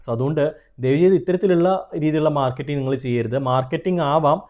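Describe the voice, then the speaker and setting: Mellow higher-voiced Malayali man. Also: fast. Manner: earnest, conversational, mixes in English terms. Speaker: trademark consultant and video host. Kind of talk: explanatory advice straight to viewers.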